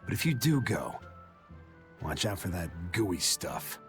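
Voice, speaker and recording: Weathered seafarer, low pitch, folksy, emphatic, spooked storyteller, video game, music behind. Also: male voice, very animated, measured pace. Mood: wary. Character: rough, spooked seafarer spinning a cautionary tale.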